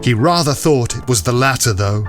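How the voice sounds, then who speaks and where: A man's voice: medium pitch, animated, normal speed, soft background music. A man narrating a classic period story in a scripted, dramatic style.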